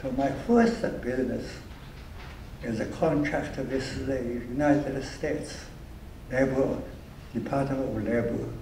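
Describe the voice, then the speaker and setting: A man's voice: mid-range voice, slow pace, mellow. Older chinese-accented man, broken english, stops and repeats himself, distant echoey mic. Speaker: award honoree speaker. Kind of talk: speech with audience Q&A. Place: event hall. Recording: echoey room from far off, faint noise.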